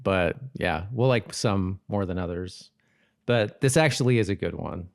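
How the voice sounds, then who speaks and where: Male podcast host, low register, dry close sound, loose rambling delivery that doubles back on itself. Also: unhurried, creaky, dry recording. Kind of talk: casual rewatch podcast chat.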